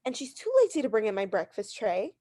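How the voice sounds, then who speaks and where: A woman's voice: mid-range voice, lively intonation, fast talker, warm tone, close to an everyday voice. A woman playing a neurotic, imperious, anxious bedridden wife in a radio drama, insistent and fretful on the phone. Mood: dismissive, self-satisfied. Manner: insistent, fretful, stammering when challenged. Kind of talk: 1940s radio drama acting.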